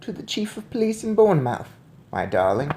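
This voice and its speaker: A man voicing a loving, proud and crafty old Norwegian grandmother in a storybook, with measured, emphatic delivery. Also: fairly high pitch, very animated, dark tone, slight background noise. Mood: proud, sly.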